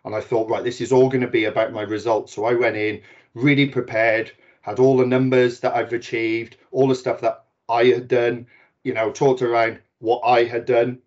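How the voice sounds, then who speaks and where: A man's voice: mid-range voice, level tone, moderate pace, darkish tone. A man in his forties with a Welsh accent, giving a chatty, self-deprecating talk as a guest speaker on a video call. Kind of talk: webinar talk. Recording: dull lo-fi video call audio.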